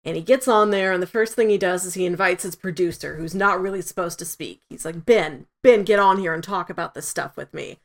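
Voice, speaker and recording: A woman's voice: on the low side, a little fast, few pauses. A woman co-hosting a review podcast in a casual, conversational way, peppering her sentences with "like". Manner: conversational, frequent "like" asides. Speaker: podcast co-host.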